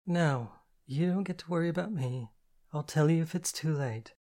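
A narrator voicing a sleepy, kind woman who reassures and gently takes command in an audiobook story. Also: mid-range voice, normal speed, lightly acted. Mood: reassuring, commanding. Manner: drowsy, reassuring, evenly commanding.

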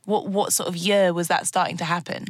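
A woman hosting a conversational interview, animated and quick to affirm her guests with short interjections. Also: lowish voice, dry recording. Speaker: podcast host.

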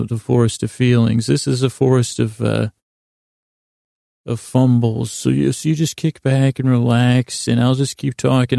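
A man hosting a bedtime podcast in a creaky, soothing voice, improvising a meandering story with halting restarts and repeated phrases. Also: mid-range voice, dry recording, clean studio sound. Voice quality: creaky, gravelly. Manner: gentle, lulling, soothing. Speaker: host of a bedtime sleep podcast.

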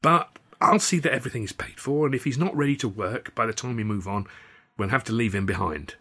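A man voicing a fair-minded male troupe manager in an audiobook, measured and placating but firm. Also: medium pitch, fairly bright tone, breathy edge.

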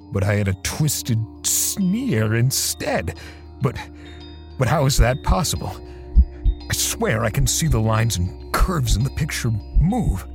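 A man playing a bitter, defensive portrait artist in an audio drama, speaking haltingly with sighs and pauses. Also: low-pitched, a little mellow, exaggerated voice. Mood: unsettled. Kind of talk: audio drama dialogue and first-person narration.

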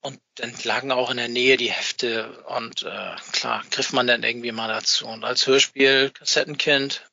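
A middle-aged man chatting casually as an interview guest, speaking haltingly and often searching for his words. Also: mid-range voice, steady tone, gravelly, pushed and bright, no room sound, muffled recording. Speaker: interview guest, fan magazine maker.

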